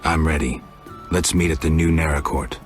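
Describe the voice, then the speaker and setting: An actor playing a gruff, stoic monster hunter in a video game, gravelly, terse and deadpan. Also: male voice, a bass voice, moderate pace, soft background music. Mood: terse, resolute.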